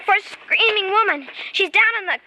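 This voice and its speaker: A woman's voice: extremely high pitch, normal speed, pushed and bright, full character voice. An actress playing an earnest, determined young schoolgirl with an urgent, pleading manner in an old-time radio drama. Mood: eager, insistent. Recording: noisy vintage radio drama recording.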